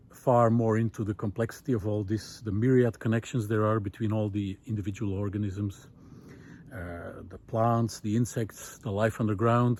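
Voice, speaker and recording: A man talking reflectively while walking on a forest path outdoors, pausing often with fillers and lifting his voice on key points. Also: fairly low pitch, darkish tone. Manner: thoughtful, many pauses and fillers, rising emphasis on key points. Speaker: nature video essayist.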